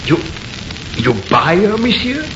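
An actor playing an anxious, deferential French shopkeeper with a French accent and halting broken English in an old-time radio horror play. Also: male voice, sits high, sing-song. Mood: eager, defensive. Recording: muffled, noisy, echoey old radio recording.

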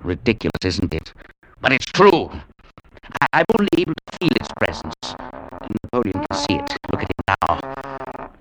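An actor in a 1940s radio drama playing a nervous, tormented middle-aged scientist who speaks haltingly and repeats words. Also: male voice, medium pitch, huge pitch swings, slight background noise, low-quality recording. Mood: uneasy, insistent. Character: nervous, tormented male scientist, insisting an unseen presence haunts him. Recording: 1940s radio broadcast recording.

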